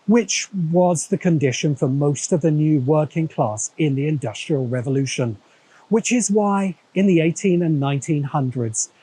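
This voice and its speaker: Wry English male essayist; soft husky voice, slightly high, reading scripted narration. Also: normal speed, rough recording. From England. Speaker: video essay narrator and host.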